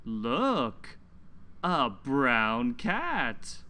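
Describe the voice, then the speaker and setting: A man hosting a children's read-along, reading aloud and teaching in deliberate, simple phrases for young learners. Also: medium pitch, huge pitch swings, pushed and bright. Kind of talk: slow, scripted children's read-aloud lesson.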